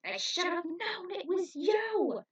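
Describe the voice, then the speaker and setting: Woman as a high, blustering alien fighter. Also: very animated, slow pace, heavily acted. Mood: defiant. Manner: loud, exclamatory delivery. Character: defiant alien mutant ally, bold and blustering. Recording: dull, distant microphone.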